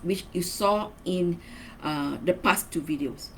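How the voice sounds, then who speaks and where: A woman's voice: fairly low pitch, slow, fairly bright tone. Woman presenting a training lesson, noisy background. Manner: instructional, emphatic key words, frequent tag questions like okay and right. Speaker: marketing trainer. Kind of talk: semi-scripted video training lesson, read and explained.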